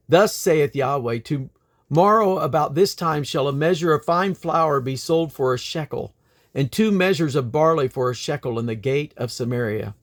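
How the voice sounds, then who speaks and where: Man reading scripture aloud. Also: mid-range voice. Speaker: scripture reader.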